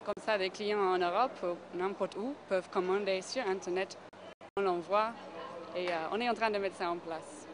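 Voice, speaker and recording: A woman who works for an NGO, answering a street interview in a clear, matter-of-fact way into a handheld mic. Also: medium pitch, normal speed, a crowd in the background, low-quality recording. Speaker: NGO worker. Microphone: handheld mic held by interviewer. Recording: steady background noise.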